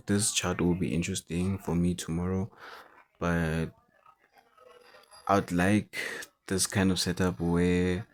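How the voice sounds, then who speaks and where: A man who is not a native English speaker, talking through forex charts in a halting way and repeating words as he goes. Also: low voice, darkish tone. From South Africa. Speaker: forex trader. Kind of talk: chart analysis commentary.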